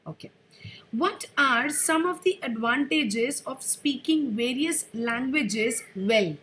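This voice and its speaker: A woman with a high voice conducts a speaking test. She reads out questions in a brisk, formal way. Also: animated, fairly bright tone.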